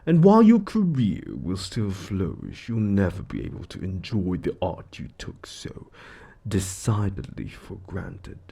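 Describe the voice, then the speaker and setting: Suave, menacing devil with theatrical swoops. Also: male voice, sits low, big pitch swings, unhurried, creaky, warm tone, slightly rough, heavily acted. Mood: disdainful, cold. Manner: theatrical, condescending, chuckling, savoring words. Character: suave, menacing devil lord gloating over a trapped mortal. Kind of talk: scripted audio roleplay monologue.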